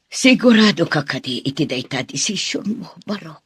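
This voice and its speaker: A performer playing a worried, tender mother in a radio drama, with a husky voice and gently admonishing manner. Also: medium pitch, big pitch swings, moderate pace. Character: worried, tender mother sending her son off.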